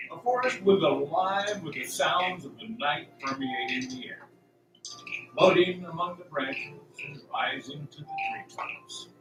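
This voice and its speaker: A man narrates a radio drama with theatrical suspense, in a slightly high voice. He speaks slowly and deliberately. He sounds set back in an echoey room, with music clearly behind him. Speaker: narrator and announcer of a radio play. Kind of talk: scripted dramatic storytelling narration. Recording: roomy, distant, music behind.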